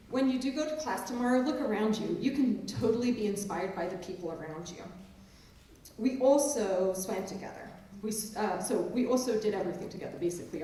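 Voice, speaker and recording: A woman's voice: mid-range voice, brisk, mellow, slight background noise. Woman with a mixed English–New Jersey accent in an echoey hall. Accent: mixed English and New Jersey, with some Maryland Eastern Shore. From England, raised in New Jersey. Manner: conversational and self-deprecating. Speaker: professor giving a keynote address. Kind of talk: speech to an audience. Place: large auditorium stage. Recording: very echoey hall, distant mic.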